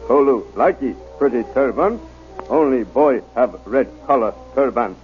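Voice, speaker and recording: Casting: male actor as simple, obliging servant, very lively mid-pitched voice, broken English, narrow vintage radio recording. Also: moderate pace, close to an everyday voice. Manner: sing-song pidgin English. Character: obliging native servant man, simple, eager, loyal. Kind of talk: old-time radio drama acting. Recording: tinny, band-limited old radio with music.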